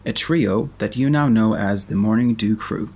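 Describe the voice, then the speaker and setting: Husky young Swedish man co-hosting. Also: fairly low pitch, normal speed, darkish tone. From Sweden. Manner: upbeat, leads the talk. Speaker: podcast co-host. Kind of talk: casual chat.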